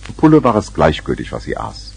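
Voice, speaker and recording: A man's voice: extremely low pitch, wildly swooping pitch, a little fast, vocal fry, a little mellow, soft background music. A man narrating a 1970s children's radio play as a scripted storyteller.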